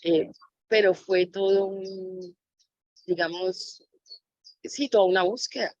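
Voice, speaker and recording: A woman's voice: mid-range voice, steady tone, takes it slowly, darkish tone, no room sound, dull audio. A woman speaking reflectively as an interviewee, sharing personal experience as a mother. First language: Spanish.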